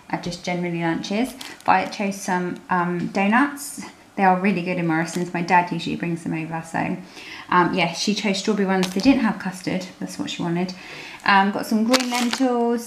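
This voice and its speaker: A woman with a British accent chatting informally through a grocery haul as a family vlogger, filming at home on a handheld camera. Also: mid-range voice. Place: indoor room at home. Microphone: handheld camera microphone. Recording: roomy, noisy home camera recording.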